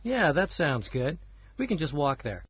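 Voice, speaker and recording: A man's voice: on the high side, sing-song, a little slow, slightly rough, dry recording, full character voice. A man acting a reluctant, easygoing guy in a short scripted dialogue. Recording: dry dull lo-fi audio.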